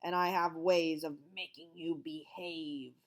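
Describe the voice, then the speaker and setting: A grim old schoolmaster with a slow, growling, threatening delivery, put on by a woman reading aloud. He barks his orders loudly and drags out each word. A storybook villain. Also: fairly high pitch, level tone. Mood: menacing. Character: stern, menacing old male schoolteacher.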